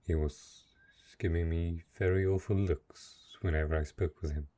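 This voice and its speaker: A man playing a lonely, possessive dark knight in a fantasy romance audio roleplay, speaking in hesitant, earnest, formal phrases with a low, husky voice. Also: steady tone, moderate pace, lightly acted. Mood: apologetic, uneasy.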